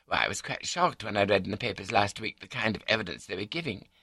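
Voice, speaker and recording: A man's voice: fairly low pitch, expressive, fast, bright, forceful tone, husky, dry recording, clean studio sound, voice pushed up, heavily acted. A man voicing a prim, scatterbrained elderly Scottish wife in an audiobook, prattling on in an unruffled, matter-of-fact way with a Scottish accent. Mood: placid, confiding. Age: older.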